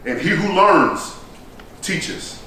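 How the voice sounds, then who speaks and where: A man performing a theatrical monologue like a rousing speech, solemn and emphatic, with deliberate dramatic pauses. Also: high voice, animated, bright, forceful tone. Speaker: stage performer delivering a monologue. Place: echoey performance space, recorded from a distance. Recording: roomy echoing hall, distant mic, faint noise.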